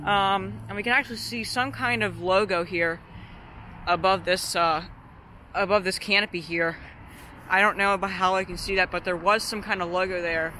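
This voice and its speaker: Hesitant man vlogging outside, high voice. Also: fairly even intonation, moderate pace, pushed and bright. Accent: Pennsylvania. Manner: hesitant, many ums and uhs, hedging. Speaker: vlogger exploring closed stores. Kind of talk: casual walking commentary. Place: outdoors in a parking lot. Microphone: handheld camera or phone. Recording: outdoor street noise behind. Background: traffic and outdoor noise.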